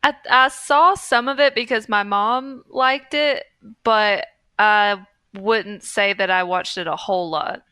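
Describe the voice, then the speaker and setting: A woman's voice: mid-range voice, slow, bright, forceful tone, clean tone, dry recording. A young woman co-hosting a podcast in casual, conversational chat. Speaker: podcast co-host.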